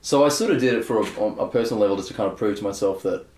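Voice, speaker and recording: A young man with a fairly low voice chats openly in an interview, wandering through his thoughts with plenty of fillers and false starts. The room around him is echoey and hollow. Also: rapid-fire, a little mellow. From Australia. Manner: rambling, candid, many fillers and restarts. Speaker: guest bass player. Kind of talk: casual interview chat.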